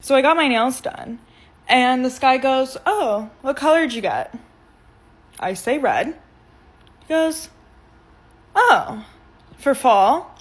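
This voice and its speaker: A woman telling an animated, incredulous storytime to camera. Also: high voice, slow pace, pauses often.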